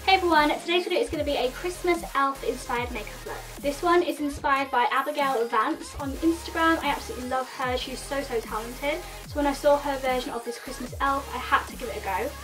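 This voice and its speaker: Woman, 30s, high bright voice, nasal sore throat, chatty explainer, distant mic with faint music. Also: fairly quick, few pauses. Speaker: makeup vlogger. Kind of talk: casual tutorial talk to camera. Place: indoor room in front of a red plaid backdrop.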